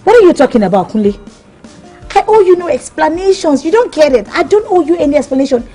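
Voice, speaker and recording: A woman's voice: high-pitched, big pitch swings, fast, mellow, soft background music. An actress playing a defiant young mother ordering her ex-partner out, speaking mixed with Yoruba. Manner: defiant and scolding, mixes Yoruba and English. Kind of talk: Nollywood drama acting.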